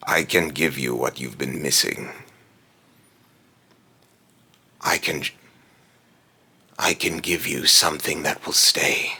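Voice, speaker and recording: A man voicing an ominous immortal being in a theatrical villain monologue, grave and condescending, with solemn, grandiose phrasing and a gravelly, breathy rasp. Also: a bass voice, unhurried, pushed and bright, heavily acted. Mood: seductive, ominous. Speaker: voice actor.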